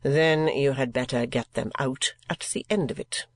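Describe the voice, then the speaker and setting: A woman voicing a blunt, stern gentleman in a storybook reading, speaking in a clipped, deliberate way. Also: very deep voice, dry recording.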